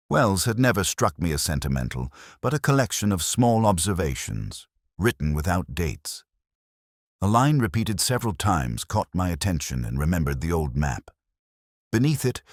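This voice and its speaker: A man narrating a detective story in the first person, in a measured, brooding, literary manner. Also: low-pitched, no room sound, clean studio sound.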